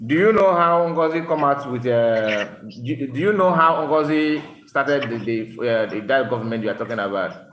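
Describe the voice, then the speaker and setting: A man's voice: medium pitch, animated, normal speed, fairly bright tone. A man hosting a call-in radio show, pressing the caller with repeated questions and cutting in. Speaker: radio talk show host. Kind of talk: call-in debate. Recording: roomy, noisy room sound.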